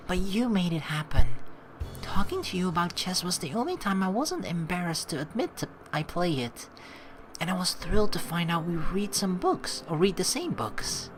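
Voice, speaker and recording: A woman doing gameplay commentary, reading story text aloud expressively and reacting to it in an animated way. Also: on the low side. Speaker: let's play host reading a visual novel aloud. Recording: game music behind the voice. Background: game music.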